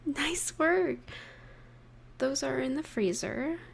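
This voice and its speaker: Creaky, high-voiced, unhurried affectionate girlfriend played by a woman. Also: exaggerated voice. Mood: playful, excited. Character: affectionate, playful young girlfriend baking at home with partner. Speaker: voice actor in romantic audio roleplay. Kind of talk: intimate scripted roleplay addressed to the listener.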